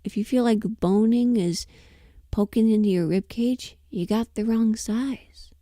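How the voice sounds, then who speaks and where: A woman chatting casually and emphatically on a livestream, rambling in an animated, opinionated way. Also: fairly high pitch, unhurried, no echo, clean studio sound. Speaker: livestream host and voice performer.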